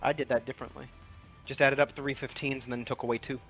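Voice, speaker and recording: A man's voice: mid-range voice, little pitch movement, fast talker, a bit bright, husky. A man playing a tabletop roleplaying game, casually explaining his moves and the rules. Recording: dull lo-fi mic, background music.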